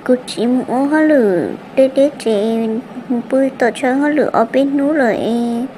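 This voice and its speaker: A woman acts out a high-voiced female character in a cartoon family drama. The dramatic dialogue comes with clear background noise. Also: unhurried, dark tone, low-quality recording. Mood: conversational.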